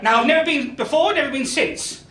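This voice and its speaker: A young man in his twenties with a West Country accent doing a self-deprecating stand-up comedy set with deadpan punchlines. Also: very high-pitched, brisk. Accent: West Country English. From Ireland, raised in Spain. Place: comedy club stage. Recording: echoey club with crowd laughter. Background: audience laughing.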